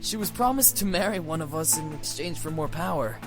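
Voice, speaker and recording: Male actor as easygoing young demon for visual novel: boyish, informal, lively pitch. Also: fairly quick, music in the background, lightly acted. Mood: puzzled, casual.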